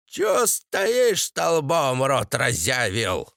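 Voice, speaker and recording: A man voicing a vicious, triumphant old mother-in-law who scolds and cackles in drawn-out rustic speech. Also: medium pitch, wildly swooping pitch, gravelly, a bit bright, no room sound, studio quality, full character voice. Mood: bossy, angry.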